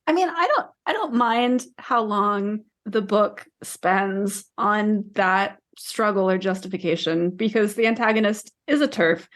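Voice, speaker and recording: A woman's voice: mid-range voice, unhurried, few pauses, a bit bright. A woman in her thirties co-hosting a podcast, talking casually through a book discussion. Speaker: podcast co-host.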